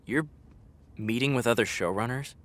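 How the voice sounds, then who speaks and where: A man's voice: mid-range voice, moderate pace, a bit bright, lightly acted. An actor playing a frank, exasperated young man talking to his best friend in a naturalistic, conversational audio drama style. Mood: teasing, hesitant.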